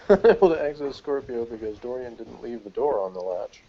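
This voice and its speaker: Male panelist, mid-pitched, casual and digressive, amused delivery, lo-fi video call sound. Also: animated, normal speed, creaky, warm tone, a little hiss or hum. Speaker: panelist in a TV series review. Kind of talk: casual review chat. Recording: dull lo-fi video call audio.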